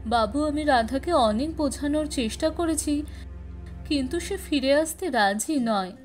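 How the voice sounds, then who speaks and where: A woman's voice: sits high, measured pace, a little mellow, soft background music. A woman voicing a caring, insistent mother reassuring and coaxing her grown son in a cartoon story.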